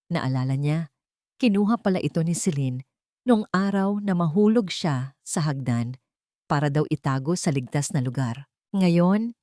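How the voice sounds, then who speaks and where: Low-pitched woman narrator, close and dry. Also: darkish tone, no room sound. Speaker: story narrator. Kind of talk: scripted dramatic narration of a Tagalog romance story.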